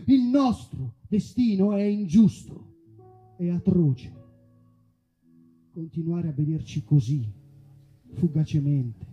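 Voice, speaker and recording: A man's voice: sits high, mellow. A man playing a lovesick, tormented fairy-tale wolf in a theatrical love-letter monologue, yearning and solemn.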